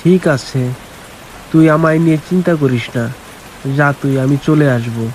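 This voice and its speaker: Man voicing worried farmer father, cartoon folk tale, higher male voice, lamenting, despairing, faint rainy hiss. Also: unhurried, darkish tone, close to an everyday voice, rough recording. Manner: plaintive, lamenting. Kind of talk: animated folk tale acting. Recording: faint hiss and rain noise.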